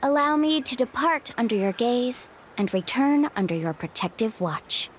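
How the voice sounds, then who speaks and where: Casting: bubbly, confident young guide, a woman voicing her, lively pitch, light music behind. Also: moderate pace, slight background noise, natural voice. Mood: explaining. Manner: upbeat, playful, confident. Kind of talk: video game voice acting. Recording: game audio with music behind.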